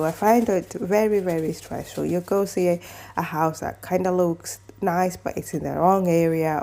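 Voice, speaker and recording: A woman's voice: low voice, dark tone. A woman from Kenya chatting casually to camera, telling a long story with restarts and asides. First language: mother tongue other than English, also Swahili. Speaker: vlogger.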